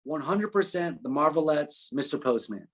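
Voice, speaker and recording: A man with a slightly high, husky voice talks casually as a musician being interviewed. His delivery is animated and conversational, loose and unpolished. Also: moderate pace. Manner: animated storytelling, frequent profanity and filler phrases. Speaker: musician guest.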